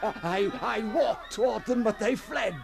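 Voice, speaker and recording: An actor playing an anguished undead outcast in a radio horror monologue, theatrical and halting, moving between breathy whispers and cries. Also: male voice, high-pitched, music in the background. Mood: frantic, desperate.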